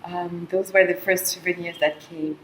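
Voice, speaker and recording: A middle-aged woman from Colombia speaking softly and haltingly, with frequent pauses and fillers, in a reflective personal monologue. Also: lowish voice, little pitch movement, slight background noise, rough recording. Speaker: vlogger sharing a personal experience.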